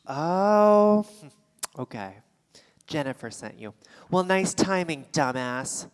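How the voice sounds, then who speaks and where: A woman's voice: deep voice, sing-song, takes it slowly, smooth, exaggerated voice. An actress playing a defensive, rattled woman with a secret affair in a live staged play reading. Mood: defiant, irritated. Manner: indignant, sarcastic, stammering when rattled. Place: theatre stage before a live audience. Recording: live theatre stage, long hall reverb.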